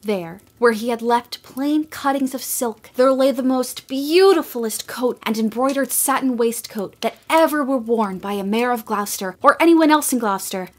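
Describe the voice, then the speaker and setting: A woman giving scripted storybook narration for a radio play, gentle and expressive, sometimes dropping to a hush. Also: a little high.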